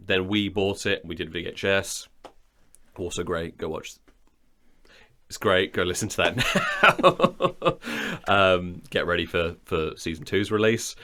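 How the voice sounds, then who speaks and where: A man's voice: deep voice, fairly bright tone. A man chatting casually as a co-host, in a rambling, wry, joking way. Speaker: audio drama maker, co-host. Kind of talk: casual studio chat about productions.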